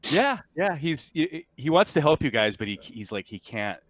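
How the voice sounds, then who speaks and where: A man's voice: a little high, sing-song, normal speed, a bit bright. A man casually explaining a tabletop roleplaying game as its game master.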